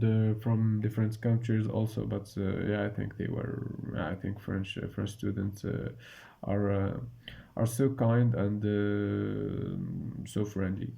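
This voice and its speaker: A man in his twenties from Morocco, an engineering student answering interview questions hesitantly with many pauses and restarts. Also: fairly low pitch, vocal fry. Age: 20s. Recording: dull lo-fi sound.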